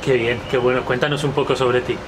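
A man's voice: medium pitch, flat delivery, quick pace, background noise. A man hosting a casual interview, asking short questions from off camera. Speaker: interviewer, host.

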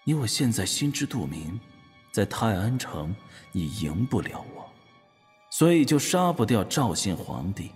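An actor playing a proud, cold young eunuch martial master in an audio drama, speaking formally and sternly. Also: male voice, fairly low pitch, very animated, a little slow, darkish tone. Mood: challenging, probing. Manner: stern, probing, archaic formal diction. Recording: long hall reverb, music underneath. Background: background music.

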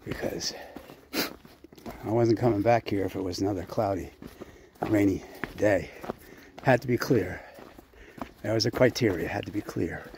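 A man casually narrating his hike to a handheld camera outdoors on a mountain trail, chatty and unhurried, winded at times from the climb. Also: fairly low pitch, noisy background. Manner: chatty, unhurried, reads signs aloud. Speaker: hiking vlogger.

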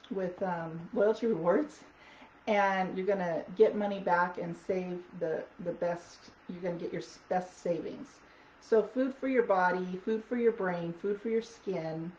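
A woman vlogger with a raspy, hoarse voice chatting casually about wellness products, with lots of ums. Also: medium pitch, steady tone, measured pace, darkish tone. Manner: chatty, many ums, reads product notes aloud. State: hoarse from talking. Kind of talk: casual product talk to camera. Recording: echoey dull audio with faint noise.

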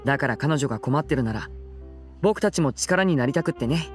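A man acts a gentle, well-mannered young performer in a Japanese game. The delivery is soft, boyish and earnest, over faint music. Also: medium pitch, lively intonation, a little fast, lightly acted. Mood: courteous, earnest. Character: polite, smooth young male stage magician, gentle and loyal. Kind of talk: Japanese video game voice acting.

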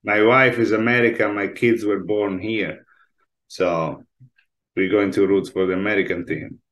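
A man's voice: lowish voice, fairly even intonation, a little slow, a bit bright. Italian-accented man on a video call. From Italy. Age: 40s. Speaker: guest chef. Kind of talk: casual interview chat. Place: home room, indoors. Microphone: video call, laptop mic.

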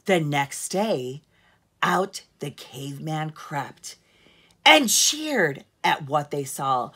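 A middle-aged woman reads a children's story aloud at home. Her voice is bright and forward, with lively rises and falls. She moves at an unhurried pace, stressing words like a storyteller. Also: sits low, slightly rough. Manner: deliberate storyteller phrasing with strong emphasis. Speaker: teacher reading aloud to children. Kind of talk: expressive picture-book read-aloud. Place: living room at home, seated in an armchair.

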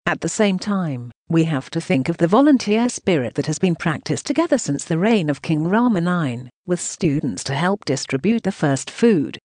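Choppy synthetic news narrator, lively pitch. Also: normal speed, hardly any pauses, dry recording. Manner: choppy, reads punctuation marks aloud. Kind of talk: synthetic voice reading translated news text.

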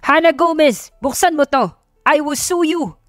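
A woman's voice: high-pitched, unhurried, fairly bright tone, studio quality, pitched above the performer's own voice. An arrogant, exasperated billionaire man in an audio romance voiced by a woman. He speaks formally, switching in and out of English. The sound is roomy, with music underneath. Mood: shouting angrily. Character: haughty, exasperated male billionaire, formal English-Tagalog speech. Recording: roomy echo, music bed behind.

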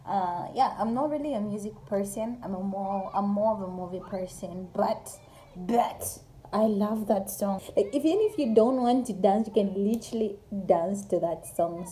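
A woman's voice: medium pitch, moderate pace, dark tone, slight background noise. A young woman from Zambia, chatting casually to her phone camera in a small room, rambling with lots of fillers. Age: young adult. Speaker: YouTuber. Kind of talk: casual get-to-know-me vlog. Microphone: phone camera at arm's length.

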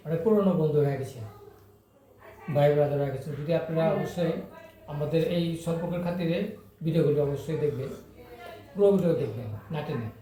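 A man's voice: on the high side, fast, long pauses, dark tone. A man with a Bangladeshi accent talking casually in a family vlog at home. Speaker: husband of the vlogger. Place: indoors at home. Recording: very echoey room.

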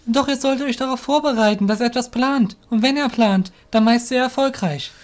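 A man's voice: extremely high pitch, brisk, pitched above the performer's own voice. A man voicing an earnest, respectful, somewhat hesitant young shadow guild apprentice in a fantasy audio drama. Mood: urgent, unsure.

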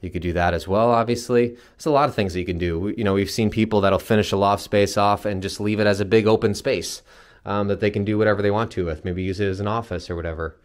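A man's voice: lowish voice, fairly even intonation, quick pace. A man giving a casual, unscripted, explanatory house tour as a home builder's representative. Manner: informal, explanatory. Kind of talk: casual unscripted walkthrough narration. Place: empty new house, indoors. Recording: echoey empty house interior, long reverb.

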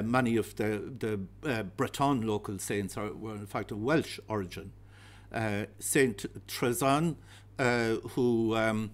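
A man in his fifties with an Irish accent giving a public history lecture in a hesitant, discursive way. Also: fairly low pitch. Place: darkened heritage centre auditorium.

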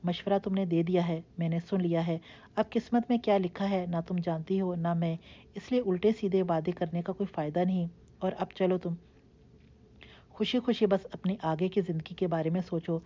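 A woman's voice: fairly low pitch, steady tone, mellow, lo-fi sound, close to an everyday voice. A woman voicing a heartbroken, defiant young woman who lashes out in passionate, reproachful outbursts. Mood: calm advice.

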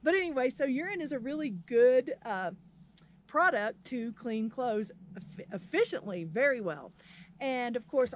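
A woman's voice: fairly high pitch, a little hiss or hum. Chatty upbeat woman, arm's-length camera outdoors. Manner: chatty, laughs easily, upbeat. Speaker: vlogger, history teacher. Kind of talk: casual outdoor vlog chat. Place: outdoors at a windy off-grid camp.

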